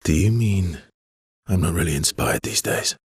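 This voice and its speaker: An actor playing a world-weary, guarded swordsman in a video game, speaking in an understated, conversational way with a husky, gravelly voice and a dry, wry affection. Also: low voice, normal speed, dry recording. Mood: subdued, reflective. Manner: understated, hesitant, dryly teasing. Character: world-weary adult male expedition swordsman, guarded but wryly affectionate.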